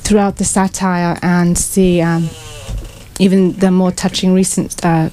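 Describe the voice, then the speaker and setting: Casting: woman audience member, soft dark tone, slightly low, earnest and halting. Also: little pitch movement, slight background noise. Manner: hesitant, earnest, careful phrasing. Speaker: audience member asking a question. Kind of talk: spontaneous live question. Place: small crowded bookshop, indoors. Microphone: passed handheld microphone.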